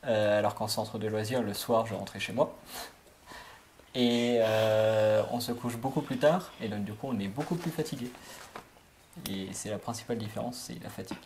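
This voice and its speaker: A man's voice: fairly low pitch, moderate pace, darkish tone, slight background noise. A man of twenty-one, a camp counselor, answering interview questions casually and hesitantly, with lots of filler sounds.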